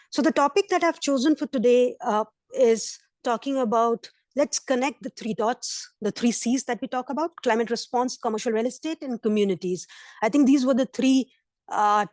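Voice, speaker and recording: A hoarse woman presenting at a virtual conference session, earnest, with fillers and restarts. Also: fairly high pitch, darkish tone, no echo. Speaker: invited speaker. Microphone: computer mic on video call.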